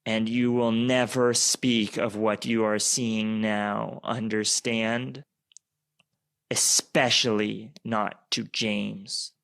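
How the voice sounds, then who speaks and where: A man voicing an eerie young witch girl who makes slow, stern, menacing pronouncements in an amateur radio play. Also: lowish voice, flat delivery, creaky, fairly bright tone, smooth, no room sound, exaggerated voice.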